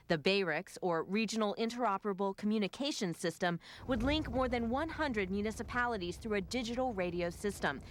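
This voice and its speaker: A woman TV news reporter reading a scripted report in a measured, formal delivery. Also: mid-range voice, slight background noise.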